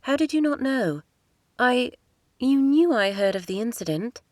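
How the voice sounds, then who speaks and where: A woman voicing a proud young princess who speaks in a crisp, formal way. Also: fairly high pitch, animated, a little slow, dry recording, clean studio sound, pitched above the performer's own voice. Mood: reserved.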